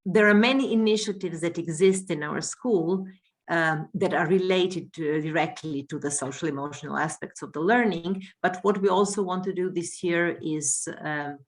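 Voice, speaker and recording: Woman teacher presenting a workshop, lowish voice, halting with fillers. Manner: hesitant, many fillers and restarts while explaining. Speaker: world languages teacher and home language coordinator.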